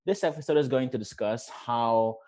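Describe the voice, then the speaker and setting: Young male host, mid-range voice, halting and filler-heavy. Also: mid-range voice, darkish tone. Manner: hesitant, many filler words. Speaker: podcast host. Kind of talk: casual podcast interview.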